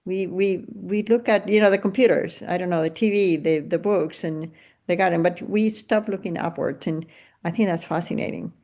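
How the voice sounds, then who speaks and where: Low-pitched older woman podcast host. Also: moderate pace, warm tone. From Venezuela. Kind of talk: casual interview chat.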